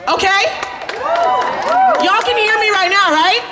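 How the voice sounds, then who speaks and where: Woman, big commanding voice, high pitch, slangy, echoey PA, loud crowd and noise. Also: a little slow. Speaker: city parks worker keeping order. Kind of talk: crowd management. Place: outdoor rally at city hall.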